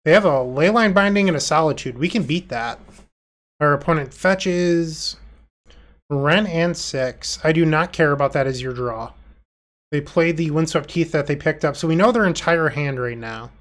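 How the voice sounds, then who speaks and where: A man's voice: a little high, normal speed, a bit bright. A man with a congested, nasal voice from being sick, giving casual self-deprecating commentary on his own online card game. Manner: self-deprecating, thinking aloud. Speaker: gameplay commentator.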